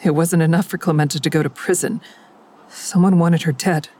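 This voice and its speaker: A woman's voice: low voice, steady tone, a little fast, dark tone. An actress playing an anxious, conflicted woman in an audio drama, speaking plainly and with some hesitation. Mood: uneasy.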